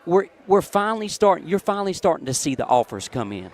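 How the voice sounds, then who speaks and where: A man's voice: fairly high pitch, very animated, quick pace, a little mellow, a little hiss or hum. An effusive man, chatting casually and emphatically as a sports radio co-commentator. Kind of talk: casual live post-game chat.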